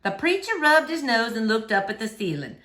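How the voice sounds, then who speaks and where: A woman reading a children's novel aloud as an expressive storyteller. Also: fairly high pitch, a little fast, echoey room.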